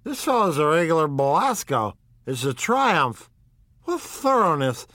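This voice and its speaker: A stout, middle-aged drunk man, as a male narrator puts him on, blurting and rambling with giddy excitement. His voice jumps high and loud above the reader's own, swooping around as he talks. The sound is dry and close, with no room around it. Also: measured pace, no room sound, full character voice. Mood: excited.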